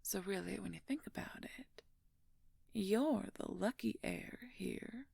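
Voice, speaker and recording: A woman playing a smug, possessive female werewolf in an audio roleplay, murmuring her condescending threats in a breathy, often whispered voice. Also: medium pitch, a little mellow, no echo, clean studio sound, heavily acted. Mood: smug, taunting. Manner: slow, smug, condescending, drawn-out menace. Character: menacing, possessive female werewolf, former court mage. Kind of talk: audio roleplay monologue addressed to the listener.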